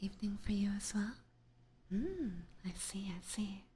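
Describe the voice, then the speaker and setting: A woman livestreaming ASMR, chatting gently to her viewers in a soft, breathy whisper close to a binaural microphone. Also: medium pitch, lively intonation, moderate pace. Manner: gentle, chatty, giggly, addressing chat.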